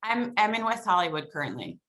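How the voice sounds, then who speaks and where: Bright-voiced, chatty American woman in her forties. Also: on the low side, very animated, brisk. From Long Island, New York, USA. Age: 40s. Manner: chatty, rambling, peppered with "like" and "you know". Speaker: podcast guest. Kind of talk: casual chat.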